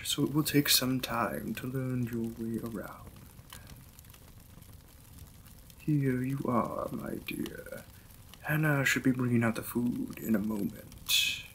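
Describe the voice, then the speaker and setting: Slow-spoken, courteous Victorian vampire lord, acted by a man. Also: medium pitch, pushed and bright, a little hiss or hum, low-quality recording. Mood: courteous, attentive. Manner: formal, polite, unhurried phrasing. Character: courteous, reserved Victorian vampire lord, gentle new husband. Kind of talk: romantic period roleplay audio drama.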